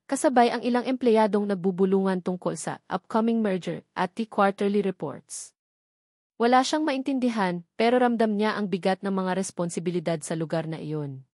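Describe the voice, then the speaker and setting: A woman's voice: mid-range voice, a little mellow, dry recording, clean studio sound. A woman with a Filipino accent reading a scripted romantic drama as its storyteller. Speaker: narrator.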